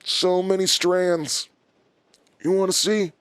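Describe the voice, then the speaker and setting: Smug, higher-voiced male villain. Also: level tone, slow pace, fairly bright tone, clear, resonant tone, no echo. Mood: coolly menacing. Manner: taunting, calculating, controlled. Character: menacing, smug male villain, an old enemy. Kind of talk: audio drama dialogue.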